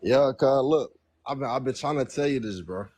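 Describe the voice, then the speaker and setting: A man's voice: mid-range voice, moderate pace, a little mellow, a little hiss or hum. A nineteen-year-old man calling in to a debate, talking in casual slang and repeating himself defensively. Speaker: caller.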